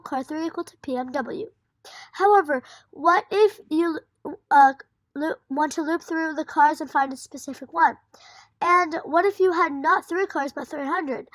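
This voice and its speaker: A woman's voice: sits high, fairly bright tone, no echo, rough recording. A woman reading through a programming tutorial, talking through code examples with fillers and self-corrections. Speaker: coding tutor.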